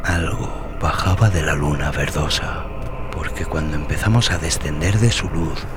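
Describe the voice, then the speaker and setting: A man narrating a horror tale in a solemn, deliberate, dramatic way with deliberate pauses. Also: extremely low pitch, background noise. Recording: voice over prominent background music. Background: music.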